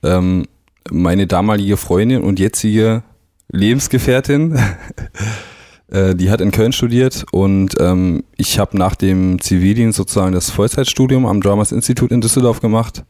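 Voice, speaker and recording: A man's voice: fairly low pitch, normal speed, no room sound, studio quality. A young man from East Berlin who grew up in Brandenburg, a drummer chatting as a radio guest with easy laughs. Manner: relaxed, laughs often, anecdotal. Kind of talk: casual radio chat.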